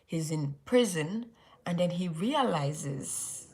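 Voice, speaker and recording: A woman's voice: lowish voice, expressive, slow, a little mellow. A young woman with a Nigerian accent hosting a casual late-night Bible study livestream, rambling and often restarting her sentences with "like". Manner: rambling, restarts, heavy use of "like".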